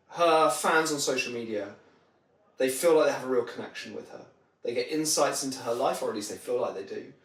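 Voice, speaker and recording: A man speaks as an interview guest in a roomy space, sitting a little away from the microphone. His delivery is conversational and explanatory, built around examples, in a medium-pitched voice. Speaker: podcast guest, marketing trainer. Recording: roomy echo, distant microphone.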